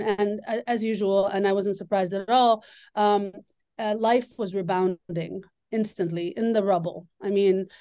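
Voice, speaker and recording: A woman from Lebanon, an author and scholar, answering interview questions thoughtfully with many pauses and ums. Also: medium pitch, mellow, no echo, clean studio sound.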